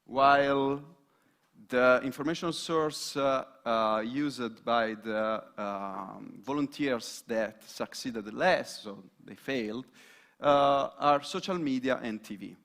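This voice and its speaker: Slow, halting man presenting research. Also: medium pitch, fairly bright tone. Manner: hesitant, many fillers and restarts. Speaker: student presenter.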